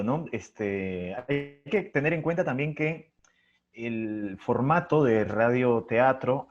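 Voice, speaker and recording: A man with a Peruvian accent answering as an interview guest, articulate and discursive, thinking aloud with pauses in a reflective way. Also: medium pitch, lo-fi sound. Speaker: interview guest, radio drama creator.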